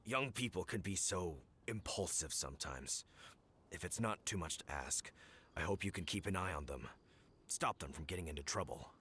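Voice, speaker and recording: An actor playing a gentle, worried, world-weary older male villager in a video game. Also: deep voice, animated, normal speed, a little hiss or hum. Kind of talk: video game character dialogue.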